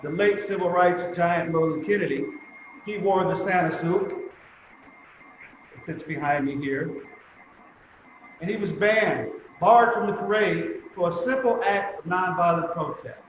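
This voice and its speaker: A man giving prepared remarks as a museum representative at a commemoration, speaking in a measured, emphatic way with deliberate pauses. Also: high voice. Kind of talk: prepared speech at a virtual commemoration. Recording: very echoey reverberant room, muffled, faint noise.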